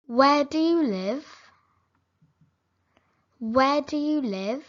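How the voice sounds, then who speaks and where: Slow, careful woman reading English phrases. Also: sits high, expressive, muffled recording. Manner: each sentence said slowly and clearly, then repeated. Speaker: English teacher.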